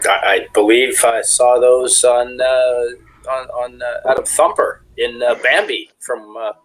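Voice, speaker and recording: A man's voice: mid-range voice, fairly even intonation, unhurried, low-quality recording. A man on a casual online panel chat who talks hesitantly, stumbling over his words.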